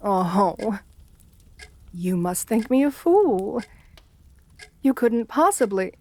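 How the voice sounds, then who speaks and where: A woman playing an assertive, seductive and menacing aristocratic countess in an ASMR roleplay, speaking softly with coaxing, condescending endearments. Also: mid-range voice, big pitch swings, takes it slowly, heavily acted. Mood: taken aback.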